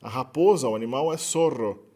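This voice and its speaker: A man with a Brazilian Portuguese accent talks through a linguistics lesson at a middle pitch. His delivery is loose and conversational, with frequent tag questions and small self-corrections as he explains. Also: moderate pace, mellow. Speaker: linguistics lecturer. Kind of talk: explanatory lecture.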